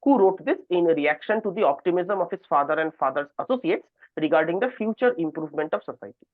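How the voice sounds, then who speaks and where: Male quizmaster, fairly high voice, reading questions, thin phone-like sound, dry. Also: animated, fast talker, dry recording. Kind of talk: reading quiz questions aloud to contestants. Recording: narrow phone-like call audio.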